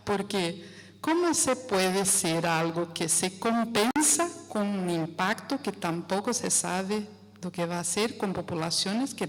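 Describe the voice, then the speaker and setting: A woman from Brazil with a Brazilian Portuguese accent, speaking Spanish as a second language in an audience question, halting and searching for words. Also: on the low side. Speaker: audience member asking a question. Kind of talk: spontaneous remarks in a lecture Q&A. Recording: echoey hall, distant mic, noisy.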